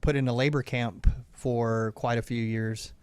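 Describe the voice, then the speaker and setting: A man's voice: mid-range voice, steady tone, unhurried, a little mellow, smooth, no echo. A man hosting a radio interview show from a studio, talking in an upbeat way with plenty of filler words.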